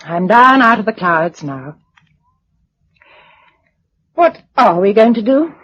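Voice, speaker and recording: A woman acting in a British radio drama as a spirited, wry young novelist surviving a catastrophe, with a light, expressive manner and a British accent. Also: mid-range voice, takes it slowly, breathy edge, heavily acted. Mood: thoughtful, practical. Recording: dull lo-fi radio recording.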